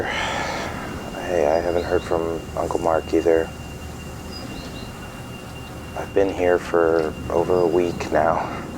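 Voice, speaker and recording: An actor playing an anxious, bewildered middle-aged father, speaking haltingly in a naturalistic audio drama. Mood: worried, tender. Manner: halting, hesitant, trailing off. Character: anxious, isolated, bewildered father leaving voicemails. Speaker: actor in a fiction podcast. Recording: noisy line with loud background rumble.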